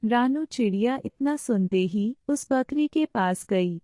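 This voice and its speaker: A woman narrator reads a scripted children's fable. Her voice sits fairly high and has a soft, mellow tone. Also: animated, slow, dry recording, clean studio sound.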